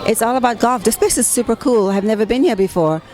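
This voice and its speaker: A woman's voice: on the high side, fast. A woman interviewing guests on a premiere carpet, chatty and upbeat in her questions. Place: outdoor premiere carpet at a venue.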